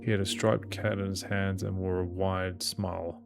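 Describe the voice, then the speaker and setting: A man's voice: sits low, flat delivery, moderate pace, vocal fry, over music. A man reading a visual novel's narration and dialogue aloud as a gaming host.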